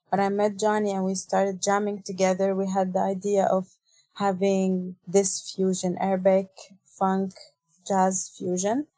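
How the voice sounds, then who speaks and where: Woman singer from Syria, warm and flat delivery, dry close sound. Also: mid-range voice, no echo. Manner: soft-spoken, measured, with hesitations. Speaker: singer, band guest. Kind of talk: casual interview chat.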